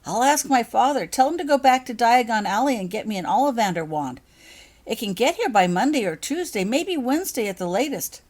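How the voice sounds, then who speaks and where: A woman's voice: on the high side, lively intonation, a little fast, a little mellow, pitched above the performer's own voice. A woman voicing a tearful, panicky young schoolgirl in a storybook, wailing and pleading. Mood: wailing, sobbing. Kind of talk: storybook character voice.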